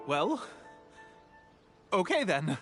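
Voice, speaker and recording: A jittery male shopkeeper in a video game, high-pitched and very animated. He is deferential and hesitant, stumbling politely over his words. Music is clearly audible underneath. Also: extremely slow, heavily acted. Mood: nervous, polite. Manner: hesitant, stammering, apologetic, polite. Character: nervous, deferential young male bookseller. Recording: music playing behind the voice.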